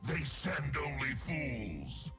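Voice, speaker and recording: A man's voice: mid-range voice, sing-song, very slow, exaggerated voice. A man voicing a sardonic, bloodthirsty demon for a video game, menacing and theatrical with dry humor. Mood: scornful. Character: sardonic, bloodthirsty male demon, gleeful and contemptuous. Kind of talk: video game character voice lines. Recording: game voice clips under clear music.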